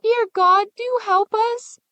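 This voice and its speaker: A woman's voice: very high-pitched, steady tone, takes it slowly, sharp tone, no room sound, voice pushed up, full character voice. A woman reading as a frightened little girl. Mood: pleading.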